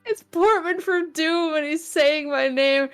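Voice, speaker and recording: A woman's voice: sits high, faint music behind. A woman guest on a chat show who talks casually, laughing often and restarting her sentences. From New England. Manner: giggly, halting, many restarts. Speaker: guest vtuber.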